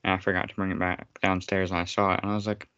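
A man's voice: sits low, flat delivery, rapid-fire, pushed and bright, smooth, no room sound, dull audio. A man co-hosting a casual podcast, rambling and teasing his friend with frequent laughter. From United States. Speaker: podcast co-host. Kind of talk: casual chat.

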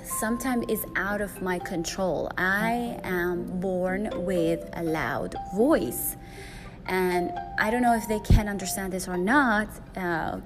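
Loud-voiced woman podcaster over music. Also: mid-range voice, takes it slowly. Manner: rambling, frequent fillers and restarts. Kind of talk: solo podcast monologue. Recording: music playing behind.

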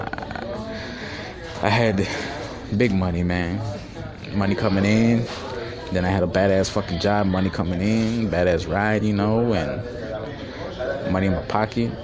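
Brief: young man, very low voice, streetwise and profane, boastful, restaurant crowd chatter behind. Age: 20s. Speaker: podcast host. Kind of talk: casual solo podcast monologue. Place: fast-food restaurant.

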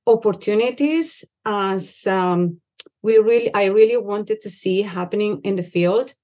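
A woman's voice: mid-range voice, a little mellow. Earnest Colombian woman on a podcast. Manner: earnest, with frequent restarts. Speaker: conservation organization director. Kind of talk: podcast interview.

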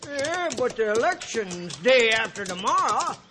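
An actor playing a folksy, grumbling, loyal cowboy sidekick with a drawling American Western accent in a radio western. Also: male voice, very high-pitched, big pitch swings, unhurried, bright, forceful tone, heavily acted. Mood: doubtful. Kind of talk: old-time radio western acting. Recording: noisy vintage radio recording.

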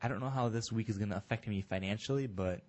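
A man's voice: fairly low pitch, fast, a little mellow. A young man co-hosting a casual podcast. He is playful and builds on the jokes. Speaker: podcast co-host.

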